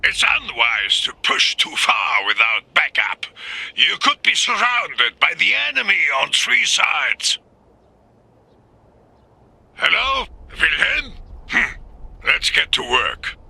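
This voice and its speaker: Gravelly, forceful army commander. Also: male voice, a little high, slow, pauses often, no echo, heavily acted. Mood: firm, measured. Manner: clipped, firm, animated. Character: cautious, firm male army commander, dutiful and authoritative. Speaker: voice actor. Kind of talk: dramatic military game acting.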